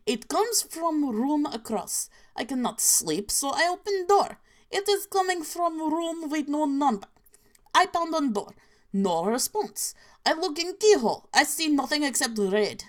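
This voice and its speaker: A woman voicing a grim, deadpan Soviet storyteller in a put-on mock Russian accent with clipped, broken grammar. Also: sits high, pitched above the performer's own voice. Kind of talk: reading creepy stories aloud.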